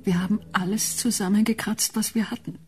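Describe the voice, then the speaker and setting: An actress playing a sentimental, anxious, elderly wife of little means in a radio drama. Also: female voice, medium pitch, steady tone, fairly quick, slightly rough, soft background music. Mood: earnest. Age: older.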